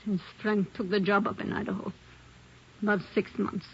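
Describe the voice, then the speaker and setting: An actress plays a hot-tempered young woman accused of killing her mother-in-law, snapping back at detectives. She swings from sullen mutters to shrill denials. The sound is dull and muffled, like an old radio broadcast. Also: level tone, normal speed, slight background noise, lightly acted. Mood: sullen, bitter. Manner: sullen then shrill, insisting, bitter. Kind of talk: 1940s radio acting.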